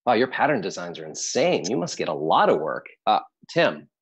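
A friendly, flattering man in his thirties at a comedy table read. His voice is low and husky with lively intonation. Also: a little fast, exaggerated voice, low-quality recording. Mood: impressed. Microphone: earbud headset on a video call.

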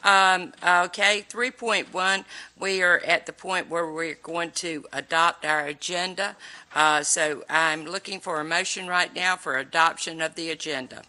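An older woman with a hoarse cold voice, chairing a public board meeting formally, with many fillers, into a desk microphone in a large room. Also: on the low side, fairly even intonation, a little slow, pushed and bright.

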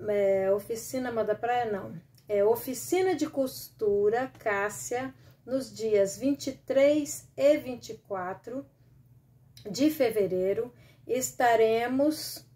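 A woman's voice: fairly high pitch, mellow. A middle-aged Brazilian woman hosting a casual sewing live stream from a small room at home, chatty and rambling with many restarts, talking into a close webcam. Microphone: webcam or laptop camera close up.

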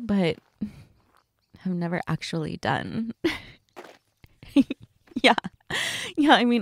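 Woman acting a flirty, slow-talking date, creaky voice. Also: medium pitch, no room sound, clean studio sound, exaggerated voice. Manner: giggly, hesitant, teasing, conversational. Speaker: audio roleplay voice actress.

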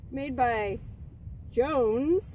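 A woman's voice: high voice, very slow, dark tone. A woman in her thirties chatting bluntly and casually about camping gear, swearing freely, at an outdoor campsite. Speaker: vlogger. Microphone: camera a few feet away. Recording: distant mic with outdoor noise.

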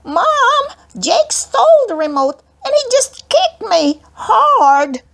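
Woman doing a shrill, wailing little sister. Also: very animated, slow, vocal fry, a bit bright, exaggerated voice. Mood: wailing, hurt. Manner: yelping, crying out. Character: whiny little sister, young girl, loudly indignant. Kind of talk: children's audiobook character voice.

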